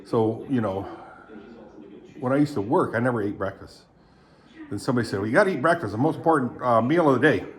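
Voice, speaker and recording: A man in his seventies, born in Indonesia, talking in a rambling, halting way, full of fillers and frequent throat clearing. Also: medium pitch, animated. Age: 70s. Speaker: vlogger. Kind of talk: rambling casual monologue.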